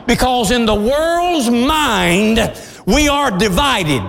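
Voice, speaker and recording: A middle-aged pastor preaching a sermon with passion, often shouting and laughing as he speaks. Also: very high voice, expressive, slow, gravelly. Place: church sanctuary. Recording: long hall reverb.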